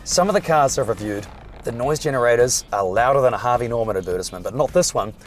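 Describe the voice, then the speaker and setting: A hoarse man, chatting and joking as he reviews a car while driving it. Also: mid-range voice, animated, quick pace, faint music behind. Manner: chatty, dry jokes. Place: inside a moving car.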